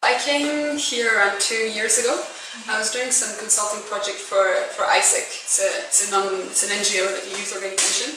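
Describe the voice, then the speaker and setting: A woman's voice: medium pitch, steady tone, fairly quick, few pauses. Young woman interviewed in a very echoey room. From born in Belgium, grew up in rural France. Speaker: social enterprise founder and managing director being interviewed. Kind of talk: informal interview, telling her story. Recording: very echoey room, distant mic, background noise.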